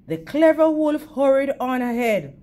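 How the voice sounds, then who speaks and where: A woman's voice: high-pitched, very animated, measured pace, dark tone. A woman reading a children's story aloud in a teacherly way, addressing young listeners directly. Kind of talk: animated storytime narration with questions to children.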